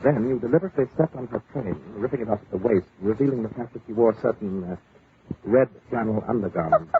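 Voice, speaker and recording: A man's voice: lowish voice, quick pace, natural voice. A man playing a courtly, easily flustered English lord in an old-time radio drama, effusive and slightly blustery. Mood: wary, reproving. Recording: tinny, hissy old radio recording.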